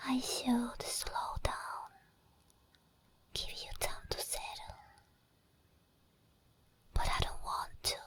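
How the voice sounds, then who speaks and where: A woman voicing a possessive, seductive sleep paralysis demon in a breathy whispered ASMR roleplay, slow and intimate. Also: high-pitched. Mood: tender, obsessed. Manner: slow, intimate, lingering delivery.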